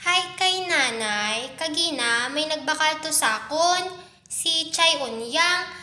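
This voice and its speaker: A woman's voice: sits high, expressive, takes it slowly, pushed and bright, reverberant, heavily acted. A woman voicing a kind, hardworking young girl who sells fish and speaks politely to her elders.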